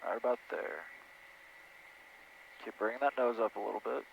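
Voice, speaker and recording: A man's voice: mid-range voice, steady tone, normal speed, creaky. A man giving casual flight instruction through an aviation headset in a small airplane. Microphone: aviation headset intercom. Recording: dull intercom audio, faint cockpit noise.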